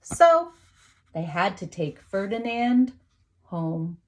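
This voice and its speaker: A woman teacher reading a picture book aloud to young children, expressively, with dramatic emphasis, pauses and asides to her listeners. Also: mid-range voice, very animated, a little mellow, slight background noise.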